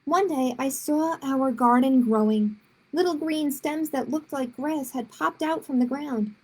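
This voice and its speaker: A woman's voice: sits high, unhurried, dark tone, low-quality recording. A woman reading a children's story aloud expressively, with short explanatory asides to young listeners.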